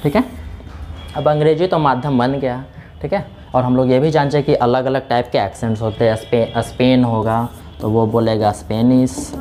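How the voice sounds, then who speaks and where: A man's voice: mid-range voice, fairly even intonation, moderate pace, dark tone, a little hiss or hum. A man teaching a class in speech, explaining step by step and answering his own questions. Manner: explaining step by step, keeps saying "theek hai"; asks questions and answers them himself. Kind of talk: classroom lecture.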